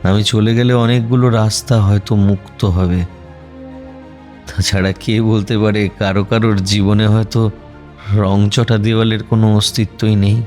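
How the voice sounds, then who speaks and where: An actor playing a troubled, despairing young man with a rough voice in an audio drama. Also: fairly low pitch, flat delivery, normal speed, a little mellow, soft background music, close to an everyday voice. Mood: weary, resigned. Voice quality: hoarse, rough voice.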